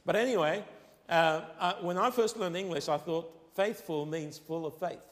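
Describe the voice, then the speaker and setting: A man with an Arabic accent preaches in a fairly high voice, stretching and lifting his key phrases for emphasis. His first language is Arabic. The sound carries a long, ringing reverb, as if from a church hall. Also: moderate pace. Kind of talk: sermon.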